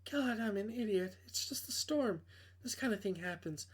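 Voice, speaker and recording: A man plays a nervous young woman from a visual novel. The voice is lifted high, self-chiding and uneasy as she tries to reassure herself. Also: lively intonation, normal speed, breathy edge. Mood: anxious, self-chiding.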